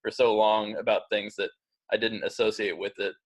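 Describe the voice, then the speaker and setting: Rambling, filler-heavy man on a video call, slightly low voice. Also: little pitch movement, moderate pace. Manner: rambling, many "like" and "you know" fillers. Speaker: Zoom meeting participant. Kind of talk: casual group video chat.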